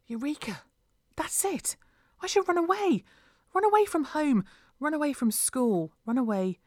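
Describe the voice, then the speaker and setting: A woman's voice: on the low side, fairly quick, a bit bright, no echo, clean studio sound. Woman playing a dramatic, hurt young girl. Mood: excited resolve. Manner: emotional, dramatic, exclaiming. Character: dramatic, hurt, bullied girl dreaming of escape.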